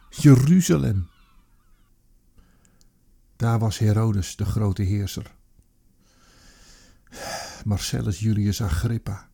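An actor playing a haunted old Roman army commander looking back, in a halting, theatrical radio play monologue. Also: male voice, mid-range voice, vocal fry, warm tone, slightly rough, heavily acted. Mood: reflective, weary. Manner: theatrical, halting, reflective, with emphatic repeated words.

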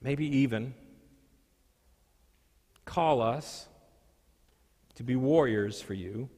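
A male pastor preaching a sermon, reading scripture and telling stories with deliberate, dramatic pauses and emphasis. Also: medium pitch, dark tone. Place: large church sanctuary. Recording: echoey hall with long reverb.

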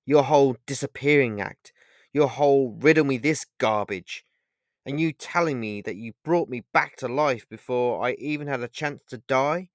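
A man voicing a heartbroken, furious young woman who shouts and nearly screams. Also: medium pitch, big pitch swings, slow pace, pauses often, no room sound, studio quality. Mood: frustrated.

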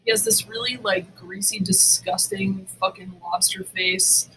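A woman's voice: mid-range voice, steady tone, measured pace, bright, forceful tone, a little hiss or hum, rough recording. A woman co-hosting a podcast, telling stories in casual, blunt, emphatic chat with plenty of swearing. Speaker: podcast co-host. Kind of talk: casual, profane storytelling chat.

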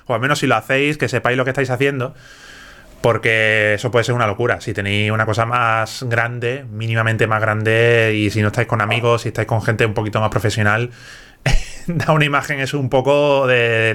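A man's voice: medium pitch, brisk, sharp tone. A man from Málaga with a mild Andalusian accent, hosting a chatty live stream and explaining things with many asides. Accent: Andalusian Spanish, mild. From Málaga, southern Spain. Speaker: host of a live chat. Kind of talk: casual live-stream chat.

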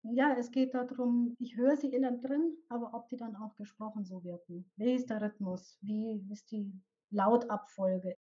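A woman's voice: on the high side, fairly even intonation, husky, muffled recording. A woman from Bavaria with a light Bavarian accent and rolled R, a poet explaining her work thoughtfully as an interview guest. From Bavaria, Germany. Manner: thoughtful, explains with pauses and restarts. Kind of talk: casual interview.

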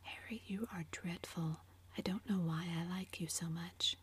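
A woman whispering the lines of an earnest young man in a storybook reading, in a low, flat hush. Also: measured pace, husky, full character voice.

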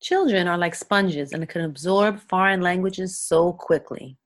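A woman hosting a podcast, reading a scripted introduction in a clear, deliberate, rhetorical way and then interviewing her guest. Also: lowish voice. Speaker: podcast host. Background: music.

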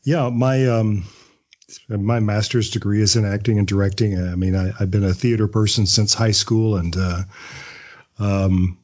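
A man's voice: on the low side, fairly even intonation, measured pace, a little mellow, dull audio. A man speaking as an interview guest in a casual, anecdotal, conversational way, often chuckling as he talks. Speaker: interview guest, writer and actor.

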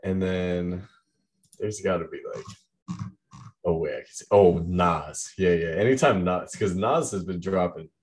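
Deep-voiced young man, rambling casually. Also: unhurried, a little mellow. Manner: rambling, heavy use of "like". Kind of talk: casual chat about music.

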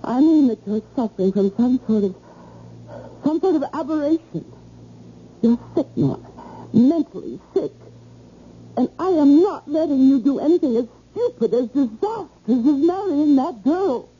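An actress playing a wounded, determined middle-aged wife, pleading emphatically and articulately in an old-time radio drama. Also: female voice, high-pitched, sing-song, normal speed. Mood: firm, indignant. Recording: muffled, noisy old radio recording.

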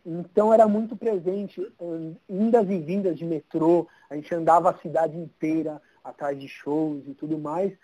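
A man's voice: fairly high pitch, fairly even intonation, moderate pace, few pauses, mellow, poor audio. A man in his thirties with a São Paulo Brazilian accent, chatting informally as an interview guest. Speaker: interview guest, social media city guide creator. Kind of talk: casual chat.

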